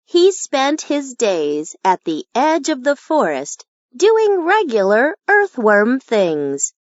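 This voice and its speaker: A woman reads a children's picture book aloud in an unhurried, gentle storytelling manner. Her pitch moves expressively through each line. The recording is dry and slightly dull. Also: huge pitch swings, no room sound. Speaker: storybook reader. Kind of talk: children's read-aloud narration.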